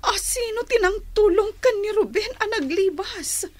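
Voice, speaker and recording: High-voiced anxious young lady. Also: expressive, normal speed. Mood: anxious, shocked. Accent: Filipino. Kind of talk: Filipino radio drama acting.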